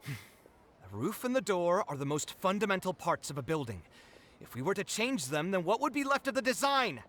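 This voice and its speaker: A proud, touchy young architect speaks in a high, bright voice that leaps up and down. He sounds exasperated and indignant, arguing over his designs. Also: sing-song, normal speed, a little hiss or hum. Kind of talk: game voice acting.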